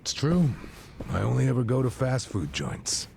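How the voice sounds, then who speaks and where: An actor playing a gruff, unpretentious ex-cop with a gravelly voice in an English video game dub. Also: male voice, mid-range voice, big pitch swings, normal speed, darkish tone, a little hiss or hum. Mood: dry. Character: gruff, unpretentious male ex-cop, plain tastes.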